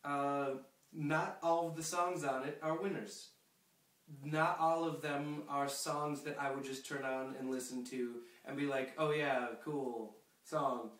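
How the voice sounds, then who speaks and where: A young man with a sniffly cold, chatting in a rambling way with lots of fillers and restarts. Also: mid-range voice. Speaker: pen pal video blogger. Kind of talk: casual video letter to a friend. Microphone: phone camera. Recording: echoey room, a bit far from the mic.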